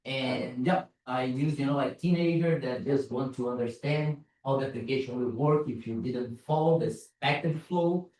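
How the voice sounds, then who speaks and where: A man's voice: mid-range voice, moderate pace, hardly any pauses, darkish tone, far from the mic, a little hiss or hum. Brazilian-accented man in an echoey hall. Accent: Brazilian Portuguese. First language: Portuguese. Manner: casual, self-deprecating, frequent "like" and "you know". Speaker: conference speaker, security consultant. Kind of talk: conference talk. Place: large conference room.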